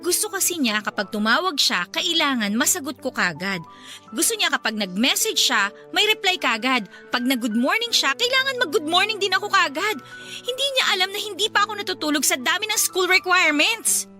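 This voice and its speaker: Radio drama role: exasperated female college student, high-pitched and bright, faint music behind. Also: very animated, brisk, full character voice. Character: stressed young female med student and her nagging younger sister. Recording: faint music under dialogue. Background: faint background music.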